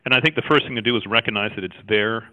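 A man's voice: on the low side, fast, pushed and bright. A middle-aged male cardiologist from Jamaica, raised in Canada, answering interview questions thoughtfully, with many fillers and restarts. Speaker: cardiologist guest. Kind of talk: interview conversation. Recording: tinny phone-like line.

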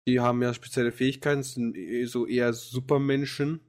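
Male podcast co-host, mid-pitched, loose and rambling. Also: flat delivery, brisk, a little mellow. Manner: rambling, many fillers and restarts. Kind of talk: casual chat about anime.

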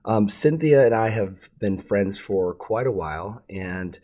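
Lower-pitched guy hosting a podcast, reads the intro, then chats with um and uh. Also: lively intonation, a little slow. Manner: warm friendly host, hesitant with fillers when unscripted. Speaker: podcast host. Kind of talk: scripted intro then casual interview.

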